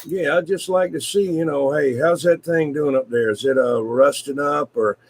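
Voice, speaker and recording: A man's voice: a little high, steady tone, moderate pace. Folksy man chatting on CB. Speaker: CB radio hobbyist, video maker. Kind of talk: casual CB radio chat.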